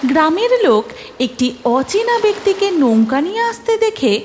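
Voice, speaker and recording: A woman's voice: very high voice, big pitch swings, normal speed, darkish tone, a little hiss or hum. A woman narrating a scripted cartoon story as its storyteller.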